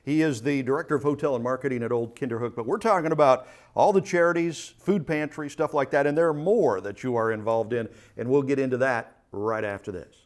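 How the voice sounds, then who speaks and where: A man's voice: medium pitch, brisk, hardly any pauses. A man hosting a local community talk show, asking guests questions in a conversational way.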